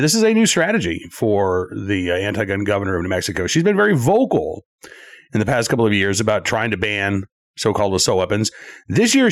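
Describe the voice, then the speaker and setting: A male talk show host who sounds scratchy and stuffed up from allergies, talking in animated bursts with many fillers. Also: lowish voice. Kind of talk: conversational political talk show.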